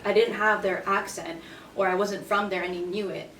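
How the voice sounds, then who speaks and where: A woman's voice: mid-range voice, fairly even intonation, normal speed, a bit bright. Young woman chatting, heard from across a room. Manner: hesitant, many fillers. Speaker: guest in a group discussion. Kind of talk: casual chat. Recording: roomy, from a distance, faint noise.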